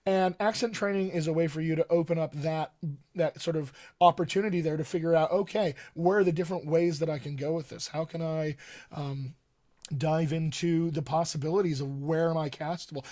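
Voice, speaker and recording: A man's voice: fairly high pitch, steady tone, fairly quick, a little mellow. A man in his thirties with a mostly General American accent, raised in Colorado, giving an enthusiastic live-streamed coaching talk from a home study and repeating his key points. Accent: General American with light West Texas and upstate New York features. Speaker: dialect coach. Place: home study with bookshelves, indoors.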